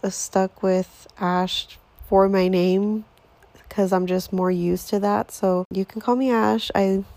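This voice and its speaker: Woman, 20s, podcast host; unhurried rambling chat with a fry-heavy voice. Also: mid-range voice, darkish tone, no room sound. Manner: chatty, rambling, lots of fillers. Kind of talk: casual solo podcast intro.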